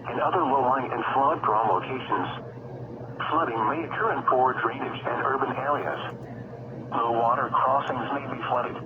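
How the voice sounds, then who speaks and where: A synthetic male voice reading a scripted weather bulletin in a formal, impersonal way as an automated radio announcer. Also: mid-range voice. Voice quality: synthetic text-to-speech voice. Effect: radio broadcast. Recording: very noisy, dull weather radio broadcast.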